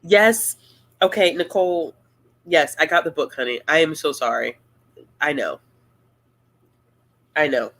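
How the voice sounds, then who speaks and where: A woman hosting a casual live-stream Q&A, talking in a relaxed, rambling and playful way. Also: low-pitched, a little slow, long pauses. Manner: laid-back, rambling, playful. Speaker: booktuber host of a live Q&A.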